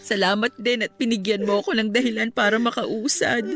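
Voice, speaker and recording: Gentle, higher-voiced young woman. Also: steady tone, fairly quick, faint music behind. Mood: grateful, calm. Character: supportive, gentle young woman, the son's companion. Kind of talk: Filipino radio drama acting.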